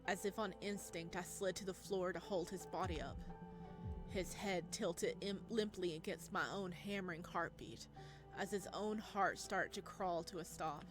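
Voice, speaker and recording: Expressive woman reading over game music. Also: on the low side, normal speed. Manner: expressive, exclamatory. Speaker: let's player reading a visual novel aloud. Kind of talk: dramatic narration with spontaneous reactions.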